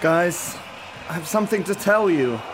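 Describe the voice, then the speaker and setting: A man playing an earnest, excitable young rock band member in an amateur radio play. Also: high voice, moderate pace, crowd noise, background noise.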